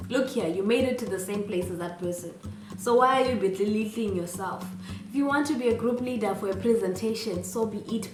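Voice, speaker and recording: A woman's voice: medium pitch, far from the mic. Earnest woman advising students in a roomy space. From Zimbabwe. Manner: earnest and encouraging, with rhetorical questions. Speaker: vlogger giving student advice. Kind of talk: advice vlog, direct address.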